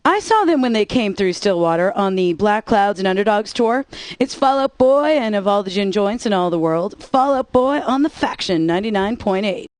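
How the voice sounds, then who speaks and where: A woman's voice: mid-range voice, no room sound. A woman radio DJ with sarcastic, wisecracking scripted patter, recorded close on a studio mic.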